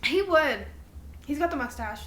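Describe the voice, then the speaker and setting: A woman's voice: sits high, lively intonation, moderate pace, fairly bright tone. A young adult woman co-hosting a podcast, chatting candidly and playfully with a friend, giggly and casual. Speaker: podcast co-host.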